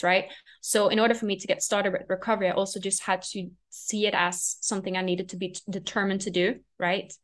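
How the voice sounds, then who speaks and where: A young woman speaking as a guest on a podcast, explaining her views reflectively and often checking in with "right?". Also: medium pitch. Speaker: podcast guest, recovery coach. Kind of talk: casual podcast interview.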